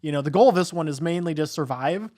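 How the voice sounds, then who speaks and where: A man's voice: a little high, fast, darkish tone. A man hosting a podcast, casually discussing films in a rambling way with frequent filler phrases and hesitations. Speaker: podcast host reviewing films.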